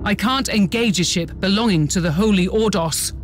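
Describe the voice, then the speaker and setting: A woman's voice: medium pitch, normal speed, soft background music, close to an everyday voice. A woman playing a dutiful, anxious starship officer in a video game, reporting formally and with urgency. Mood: worried. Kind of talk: dramatic video game acting.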